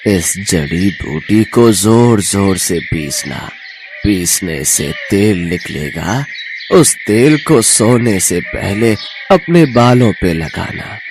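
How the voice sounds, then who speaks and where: A man voicing an old herb seller in a cartoon story, with a slow, drawn-out vendor's delivery. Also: on the low side, animated, music in the background. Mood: instructing. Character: old male herb seller hawking remedies at market.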